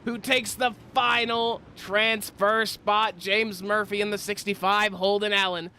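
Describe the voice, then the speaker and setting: A man doing play-by-play commentary for a simulated racing broadcast, slightly stuffy-nosed from a cold, with his pitch climbing during close battles. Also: little pitch movement, measured pace, bright, forceful tone, clean tone. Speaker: race commentator.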